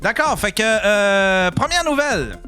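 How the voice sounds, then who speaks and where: A man's voice: high voice, sing-song, unhurried, bright, forceful tone, soft background music. A man with a Québécois French accent co-hosting a comedy podcast, reading out headlines with casual, hesitant, giggly banter.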